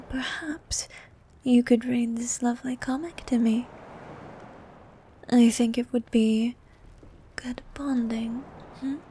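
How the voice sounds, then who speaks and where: A woman voicing a vain, flirtatious sea queen monster in an ASMR roleplay, soft and half-whispered, with a regal, teasing manner. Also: fairly high pitch, a little hiss or hum. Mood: coaxing, flirtatious. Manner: regal, teasing, slow and coaxing.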